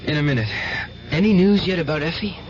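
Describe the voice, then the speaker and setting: A man's voice: a little high, quick pace, crowd noise, natural voice. An actor playing a fervent, tormented young man with psychic powers in an old-time radio drama, earnest and intense. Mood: anxious. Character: fervent, tormented young male psychic nephew, devoutly religious. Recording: dull, hissy old radio recording.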